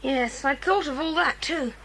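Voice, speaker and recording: A woman playing a bold, decisive boy who leads other children in an audiobook drama. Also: a bit bright. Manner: brisk, commanding, earnest. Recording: hissy, rumbling 1980s cassette transfer.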